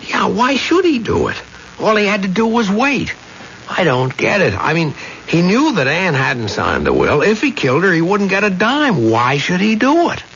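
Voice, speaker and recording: An actor playing an accused husband in a radio drama, urgent, intense and defensive. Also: male voice, high-pitched, wildly swooping pitch, moderate pace, fairly bright tone, full character voice. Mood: agitated, puzzling. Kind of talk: 1970s radio drama acting. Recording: muffled, hissy old radio broadcast.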